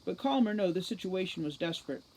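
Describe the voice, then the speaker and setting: A woman giving an expressive reading of a fantasy novel aloud, like a teacher reading to a class. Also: lowish voice, fast, a little hiss or hum, low-quality recording.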